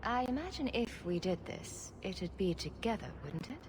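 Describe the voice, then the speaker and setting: A woman's voice: mid-range voice, big pitch swings, moderate pace, background noise, lightly acted. An actress voicing a witty, sarcastic female rogue hero in a video game, dry and playful in delivery. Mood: flirtatious, curious. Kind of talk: video game character acting.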